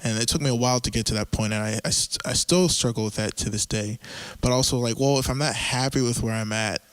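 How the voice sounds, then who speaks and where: A man hosting a casual group conversation, talking in a halting way with many fillers and easy laughs. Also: mid-range voice, smooth, no room sound. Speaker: podcast host.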